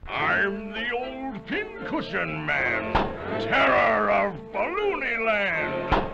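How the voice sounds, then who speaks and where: High, creaky cackling cartoon villain. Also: male voice, full character voice. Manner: cackling, theatrical, boastful. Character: gleeful wicked pincushion man, taunting villain of a balloon land. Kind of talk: 1930s cartoon voice acting, singsong rhymed verse. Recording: muffled old cartoon soundtrack with music.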